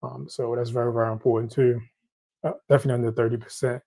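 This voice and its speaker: A man's voice: mid-range voice, flat delivery, fast talker, gravelly, mellow. A man from Haiti with a Haitian accent, hosting a casual question-and-answer show on credit, talking loosely and often restarting his phrases. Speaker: host.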